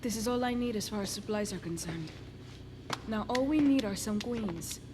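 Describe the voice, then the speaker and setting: An actress playing a grave, guarded medical researcher in a video game cutscene, explaining in a measured, clinical way. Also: female voice, mid-range voice, background noise, close to an everyday voice.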